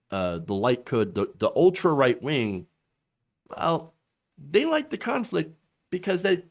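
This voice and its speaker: A man hosting a casual political commentary, reading quotes and giving his opinion with many fillers and restarts. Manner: lively pitch with frequent fillers and restarts; reads quotes aloud with raised pitch.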